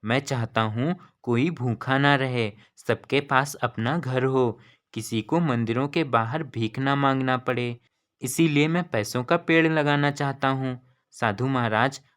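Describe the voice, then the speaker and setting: Man playing an earnest, slow-spoken young boy. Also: mid-range voice, fairly bright tone, clean tone, close to an everyday voice. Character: earnest, kind-hearted young boy dreaming of helping the poor.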